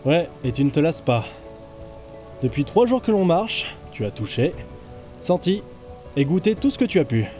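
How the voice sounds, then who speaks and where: A man playing a gruff, secretive travelling companion in a fantasy audio drama, curt and dry. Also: fairly high pitch, sing-song, normal speed, pauses often, mellow, soft background music. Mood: teasing impatience. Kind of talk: French fantasy audio fiction dialogue.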